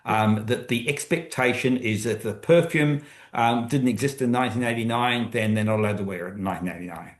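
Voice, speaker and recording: Australian man, thoughtful guest author, halting delivery, roomy video-call sound. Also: mid-range voice. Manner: thoughtful, hesitant with many ums. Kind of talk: webinar conversation.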